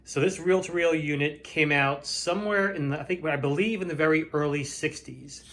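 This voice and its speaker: A man's voice: on the high side, moderate pace, a bit bright. A man hosting his own reseller vlog, showing items in a casual, unscripted, plain-spoken and matter-of-fact way. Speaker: flea market reseller hosting own show. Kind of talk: casual unscripted product show-and-tell.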